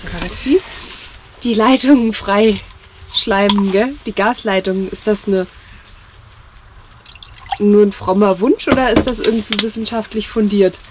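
A woman's voice: medium pitch, a little slow, pauses often. A woman chatting casually in a travel vlog, wry and teasing, talking in a camper van. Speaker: camping vlogger. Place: inside a camper van, in the car earlier. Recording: noisy car and camper sound.

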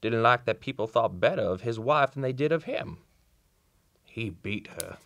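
A folksy old country doctor, lively. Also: male voice, mid-range voice, moderate pace, slight background noise. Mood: folksy storytelling. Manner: folksy, drawling storyteller. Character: kindly old small-town Southern country doctor. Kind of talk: old-time radio drama acting. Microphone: shared vintage-style studio microphone.

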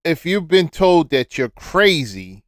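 A man reacting to a video with slow, amused, halting asides. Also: a little high, very animated, no echo, clean studio sound. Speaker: reaction commentator. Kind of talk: casual reaction commentary.

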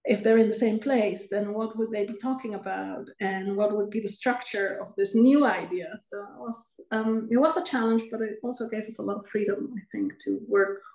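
A middle-aged woman speaking at an even middle pitch over a video call, measured and explanatory as she walks through her filmmaking. The sound is roomy, with the echo of a home room around her. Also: gravelly. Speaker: film director.

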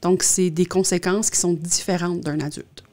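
A woman's voice: lowish voice, steady tone, normal speed, darkish tone. A middle-aged woman with a Quebec French accent explains things plainly as an interview guest on a close studio mic. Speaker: guest, youth justice social worker. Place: small indoor radio studio. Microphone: close studio mic on boom arm.

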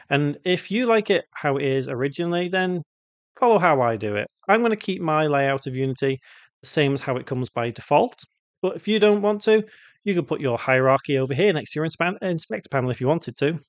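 Male tutorial presenter, slightly high pitch, step-by-step explainer delivery, dry close voice-over sound. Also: no echo, highs cut off. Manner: explanatory, step-by-step, asking and answering own questions. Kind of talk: unscripted software tutorial narration.

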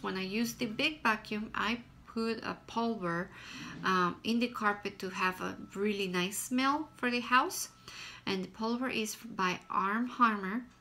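A woman in her thirties with a Guatemalan Spanish accent talks through a casual review in a small room at home. She speaks plainly and hesitantly, pausing on fillers as she goes, recorded close to the camera. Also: medium pitch, fairly bright tone. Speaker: vlogger.

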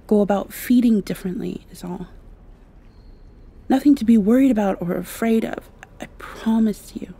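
A shy, romantic young vampire girl acted by a woman in an intimate ASMR roleplay. Her voice is mellow and breathy, at a middling pitch. She speaks softly and hesitantly, with an earnest, confiding manner.

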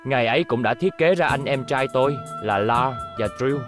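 A man dubbing a friendly, troubled young racing robot in a cartoon, gently explaining. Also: mid-range voice, normal speed, over music, close to an everyday voice. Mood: rueful, explaining.

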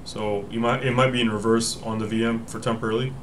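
A man's voice: on the low side, flat delivery, fast, a little hiss or hum, poor audio. A young man hosting a casual tech tutorial from a home room, thinking aloud, often correcting himself as he explains the steps. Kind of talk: casual screen-recorded walkthrough.

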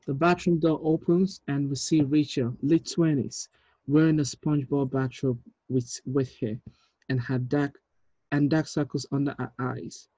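A man in his thirties reading screenplay directions aloud in a measured, deliberate way, with small stumbles. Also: mid-range voice, fairly even intonation, warm tone, no echo, rough recording. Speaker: writer reading stage directions at a table read. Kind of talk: script narration, reading aloud. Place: indoor room, on a video call.

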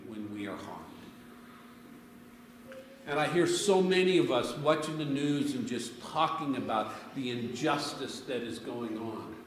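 A man's voice: mid-range voice, slight background noise. A middle-aged man preaching a conversational sermon to a congregation, telling stories and asking them questions, picked up by a distant camera. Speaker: pastor. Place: church sanctuary, before a congregation. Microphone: far camera. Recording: echoey church from a distant camera.

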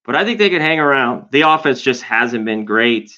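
Bright-voiced man on a dull lo-fi mic. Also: mid-range voice, animated, moderate pace. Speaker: sports betting podcast co-host. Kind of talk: casual sports betting analysis. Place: home room, indoors.

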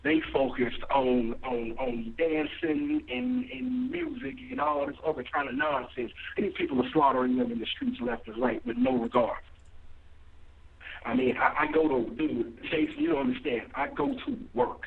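A man's voice: sits high, huge pitch swings, moderate pace, vocal fry, slight background noise. A young man, calling in over a muffled, echoey phone line and talking in an impassioned, rambling way. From Mississippi. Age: young adult. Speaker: caller. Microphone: speakerphone.

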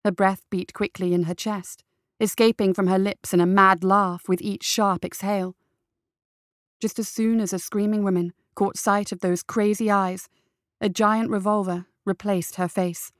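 A woman's voice: mid-range voice, fairly even intonation, moderate pace, no room sound, clean studio sound. A woman reading a scripted story as an audio fiction narrator.

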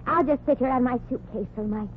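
A woman's voice: high voice, fast, close to an everyday voice. An actress playing a timid, polite, earnest small-town woman in a 1940s radio comedy. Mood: earnest, shy. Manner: earnest, apologetic. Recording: tinny, hissy 1940s radio recording.

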